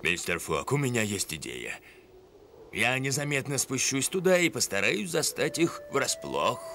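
A man voicing a brave, loyal, gentle bear in a dubbed cartoon, earnest and reassuring. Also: mid-range voice, big pitch swings, a little slow, gravelly, slight background noise, full character voice.

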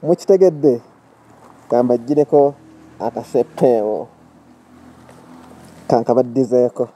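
Ugandan man teaching, very bright voice. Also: mid-range voice, measured pace, poor audio. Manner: instructive, explaining step by step. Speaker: soap-making trainer. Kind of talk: outdoor safety demonstration. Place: outdoors by a metal gate.

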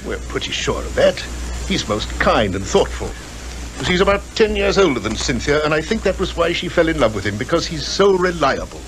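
A man's voice: a little high, sing-song, fairly quick, fairly bright tone. An actor playing a worried titled father with an upper-class British accent, formal, hesitant and reserved in 1950s radio drama style. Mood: earnest, concerned. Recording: noisy 1950s radio recording.

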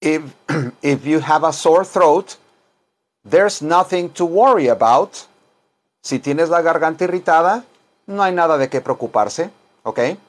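Slow-paced middle-aged man teaching. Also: a little high, animated. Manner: informal, repetitive, dictating phrases word by word. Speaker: English teacher and self-styled chaplain. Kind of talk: bilingual English lesson in Spanish and English. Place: small wood-paneled room indoors.

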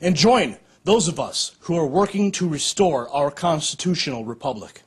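American male speaker, thirties, podium-style formal speech, fairly high pitch, rough husky tone, solemn delivery. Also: animated. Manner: solemn, declamatory, measured. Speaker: organization director giving an address. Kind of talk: formal scripted political speech. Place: indoor curtained room, at a podium.

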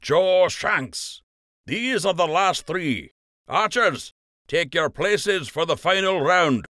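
A man's voice: a little high, lively intonation, takes it slowly, sharp tone, no echo, clean studio sound, voice pushed up, exaggerated voice. A man voicing a formal rabbit master of ceremonies in an audiobook, calling out announcements to a gathering. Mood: formal, proclaiming. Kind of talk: audiobook character voice.

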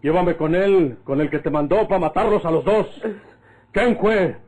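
An actor playing a proud, vengeful bandit with a northern Mexican accent in an old radio drama, commanding and taunting. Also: male voice, sits high, unhurried, darkish tone. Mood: menacing fury.

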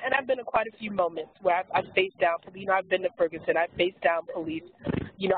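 A woman speaking as an activist on a webinar panel, hoarse from losing her voice, emphatic and earnest with frequent restarts. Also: on the low side. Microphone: telephone handset. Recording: tinny phone line with faint hiss.

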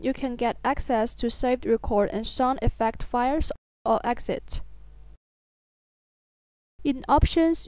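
High, clear-voiced woman narrating a tutorial. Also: darkish tone, dry recording. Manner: instructional, step by step.

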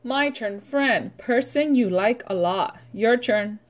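A middle-aged woman speaks as a preschool teacher to young children. Her voice sits a little high and she goes very slowly. She is instructional, leaving pauses so that listeners can join in or repeat. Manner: instructional, prompting with pauses for repetition. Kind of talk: read-aloud vocabulary lesson for young children.

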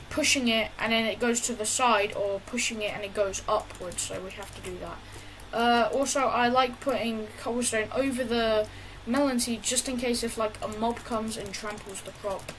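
A woman casually talking through a building tutorial over gameplay, halting often with fillers and restarts. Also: a little high, a bit bright, slight background noise, low-quality recording. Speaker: gaming tutorial creator.

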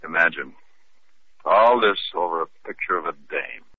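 A man's voice: low-pitched, normal speed, lightly acted. A man playing a tough, unflappable private detective in a 1940s radio drama, with a wry manner. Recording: dull, slightly noisy old radio recording.

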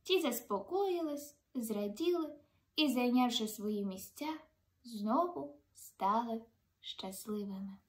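A woman's voice: fairly high pitch, expressive, takes it slowly. A young woman reading a children's fairy tale aloud expressively, as a storyteller. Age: young adult. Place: indoors in a room, seated.

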